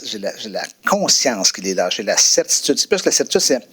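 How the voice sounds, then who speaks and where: A French-speaking man sharing a personal faith testimony as a guest pastor, pausing often with fillers and restarts, then leading a prayer. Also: fairly low pitch, very animated, pushed and bright, breathy edge. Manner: hesitant, many fillers and restarts.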